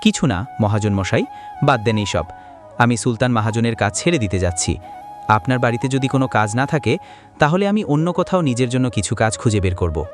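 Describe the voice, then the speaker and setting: A man voicing a humble, flattering poor farm labourer in a cartoon, speaking in a deferential, pleading way. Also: mid-range voice, fairly quick, soft background music, lightly acted. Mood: aggrieved. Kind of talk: cartoon dialogue acting.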